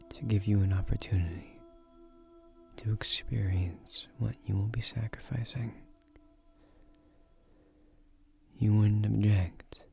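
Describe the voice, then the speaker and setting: Casting: male actor as ancient tree guardian for fantasy romance audio. Mellow, very unhurried delivery, soft and breathy, courtly and persuasive. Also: fairly low pitch. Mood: courting, confident. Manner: formal, unhurried, earnest wording with soft hesitations.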